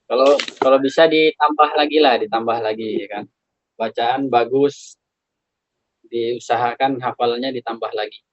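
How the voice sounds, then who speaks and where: A man teaching Quran recitation on a video call, relaxed and chatty, gently correcting his students' pronunciation. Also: medium pitch, moderate pace, dry recording, highs cut off. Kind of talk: online tajwid class, correcting students.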